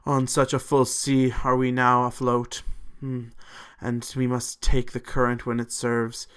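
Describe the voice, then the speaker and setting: A man voices an eerie experimental creature from a radio play. It speaks very slowly in a clear, resonant voice, halting and portentous as though reciting old verse. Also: medium pitch, completely flat delivery, a bit bright, natural voice. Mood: solemn. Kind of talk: hobby radio drama acting.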